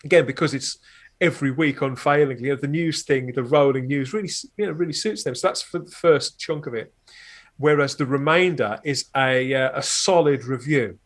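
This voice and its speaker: A man's voice: medium pitch, a little fast. A man talking casually as a podcast guest and reviewer, discursive and often rephrasing himself, with wry understatement.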